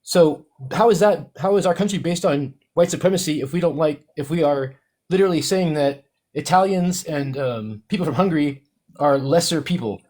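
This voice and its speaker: A man explaining his research in casual chat, halting with restarts and fillers. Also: on the high side, a little mellow. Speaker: co-host researcher.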